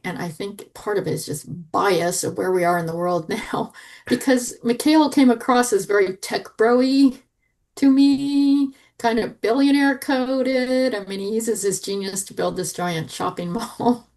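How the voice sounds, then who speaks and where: Mid-pitched woman in casual podcast chat. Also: a little slow, darkish tone. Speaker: podcast panelist.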